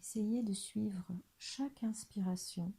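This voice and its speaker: A woman guiding a body scan meditation in a soft, breathy, near-whispered voice, gentle and soothing, leaving long pauses. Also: medium pitch. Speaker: meditation guide.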